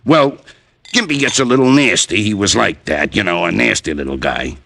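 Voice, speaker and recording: Greedy dive-bar bartender in radio noir: gravelly, surly, slangy, animated tough-guy delivery. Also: lowish voice, normal speed, no room sound, clean studio sound, full character voice. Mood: matter-of-fact. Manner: tough slangy street talk. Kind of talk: old-time radio crime drama acting.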